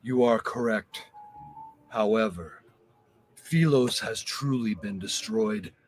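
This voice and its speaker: Slow, low-voiced solemn bishop. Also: male voice, faint music behind. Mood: solemn, calm. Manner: formal, measured, prophetic. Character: calm, solemn male bishop of a fantasy monastery. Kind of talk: video game voice acting.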